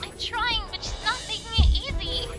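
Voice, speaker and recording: A woman's voice: extremely high pitch, moderate pace, over music. A woman playing an exasperated magic-wielding pony in an audio drama, insistent and scolding.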